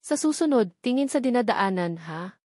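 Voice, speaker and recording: A gentle, professional police captain in a romantic audio drama, voiced by a woman. The delivery is calm and measured, with a Filipino accent. The recording is dry. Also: a little high, no room sound, pitched above the performer's own voice. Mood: gentle, caring.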